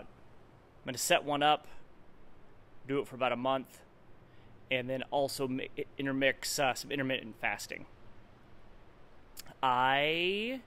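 A man in his forties talking casually and haltingly in a vlog, thinking aloud as he goes. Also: mid-range voice, fairly bright tone, clean tone. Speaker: fitness vlogger. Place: wooden garage with the door open.

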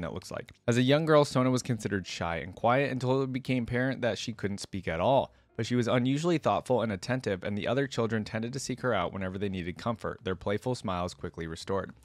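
A man's voice: lowish voice, no echo. A young man giving casual reaction commentary and reading lore aloud, close on a desk microphone. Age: young adult. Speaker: reaction video creator. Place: indoor room at a desk.

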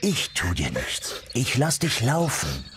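A male actor voicing a kind, gruff, reassuring huntsman in a children's fairy-tale radio play. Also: medium pitch, huge pitch swings, slow, background noise. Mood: soothing.

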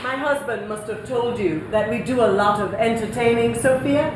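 A woman's voice: a little high, moderate pace, lightly acted. A woman in her thirties playing a prim, snobbish, disapproving wife who speaks precisely in a comedic stage play. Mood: haughty. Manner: haughty, precise. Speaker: stage actress. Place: theatre stage with audience. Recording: echoey noisy theatre stage recording.

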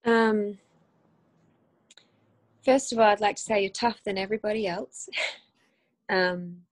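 An Australian woman in her thirties talks casually over a phone video call, rambling with ums and quick restarts. Her voice sits at a middle pitch, and the sound is dry and close with no room echo. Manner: chatty, animated, lots of ums and restarts. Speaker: guest actress. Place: home room, indoors.

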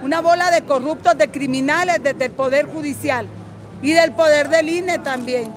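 A high-voiced older woman from Guatemala speaks as a defiant political activist. She talks with passion and rough language, as if taking on her opponents. She is recorded on a busy city street, with music and crowd noise behind her. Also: moderate pace. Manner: combative, defiant, salty-tongued. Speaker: political activist being interviewed at a rally. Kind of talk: impassioned street political interview.

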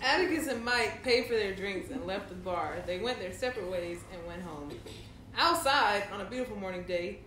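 A woman's voice: mid-range voice, lively intonation, normal speed, a bit bright. A woman narrating an informal table read, reading stage directions aloud. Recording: echoey room, faint noise.

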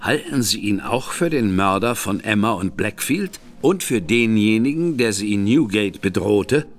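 An actor playing an inquisitive male gentleman detective in a radio drama, speaking in clipped questions. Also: on the low side, lively intonation, unhurried, faint music behind.

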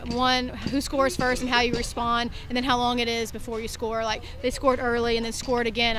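A woman in her thirties, a softball head coach giving a candid postgame interview outdoors by the field into a handheld mic. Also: a little high. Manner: candid, talks through her thoughts with restarts and "I think". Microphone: handheld mic held in front of her.

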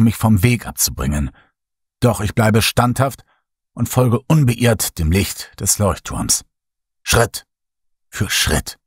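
A man narrating a horror audiobook in the first person, measured, somber and suspenseful, with dramatic delivery. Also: on the low side, slightly rough, dry recording, clean studio sound.

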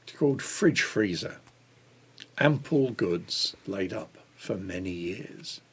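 A man in his sixties reading a devotional book aloud in a measured way. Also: deep voice, lively intonation, fairly bright tone, slightly rough. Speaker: reader of a church Lent devotional.

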